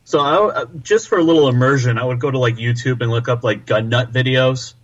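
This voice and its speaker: A man's voice: medium pitch, brisk. Casting note: male podcast guest, mid voice, muffled lo-fi sound, easygoing. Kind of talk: casual chat. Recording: dull lo-fi sound.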